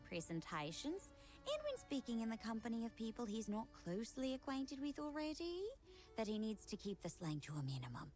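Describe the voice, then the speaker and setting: A woman playing a poised, polite young lady who speaks formally and precisely, gently strict. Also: mid-range voice, close to an everyday voice. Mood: explanatory.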